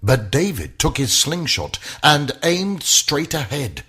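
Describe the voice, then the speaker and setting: Male narrator for kids' bedtime tale, theatrical rhyming read, very lively pitch, slightly slow. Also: background noise. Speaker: storyteller. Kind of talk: rhyming scripted children's bedtime story narration.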